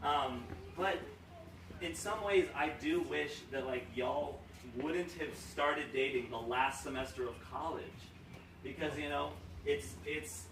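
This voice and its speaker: A man's voice: fairly high pitch, takes it slowly, a bit bright. A young man from Romania giving a joking, rambling best-man toast full of fillers, at a wedding reception. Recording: echoey room, far from mic, noisy.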